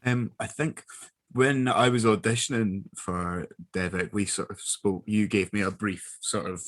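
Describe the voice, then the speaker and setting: A young man with a fairly low voice talks casually as an interviewed actor. He is informal and loose, letting sentences trail away, and the sound is close and dry. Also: fairly even intonation, unhurried, fairly bright tone, no room sound. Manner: informal, joking, trails off mid-thought. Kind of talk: casual chat.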